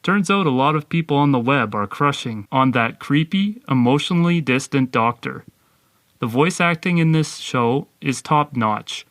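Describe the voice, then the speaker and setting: Man, medium-pitched voice, podcast co-host, scripted review delivery, dry close recording. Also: animated, normal speed, a bit bright, dry recording.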